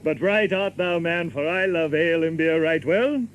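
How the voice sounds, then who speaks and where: An actor playing a sly, jesting young outlaw in an audio drama, teasing and mock-solemn in archaic English. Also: male voice, on the high side, moderate pace, highs cut off, slight background noise, natural voice.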